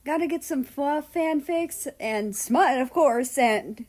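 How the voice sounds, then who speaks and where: Woman as an excitable, mischievous fangirl. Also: high-pitched, takes it slowly, voice pushed up. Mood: pouty, excited. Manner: gushing, slangy fangirl talk. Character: excitable mischievous fanged female country, fangirl matchmaker.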